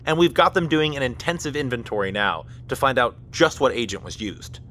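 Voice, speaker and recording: A man's voice: medium pitch, expressive, a little fast, a bit bright, natural voice. A man playing a stern, by-the-book military officer in an audio drama, speaking formally and procedurally. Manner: formal, procedural, addresses others as sir and ma'am.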